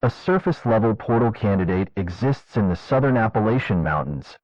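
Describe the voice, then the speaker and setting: A man narrating a fictional scientific government report in a formal, measured, clinical manner. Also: lowish voice, lively intonation, a little mellow, no room sound. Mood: formal, detached. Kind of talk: scripted documentary narration, analog horror. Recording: dull lo-fi narration track.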